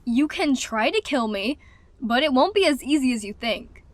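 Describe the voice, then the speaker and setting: A woman voicing a sardonic, guarded young dragon with a dry, sarcastic delivery for an animated fan dub. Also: high-pitched, normal speed, dry recording. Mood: sarcastic, challenging.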